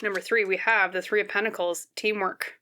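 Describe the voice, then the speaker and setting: A woman with a slightly scratchy voice giving a casual, conversational tarot reading to her viewers, explaining gently and often checking in. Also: mid-range voice, fairly even intonation, brisk. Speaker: tarot reader, host.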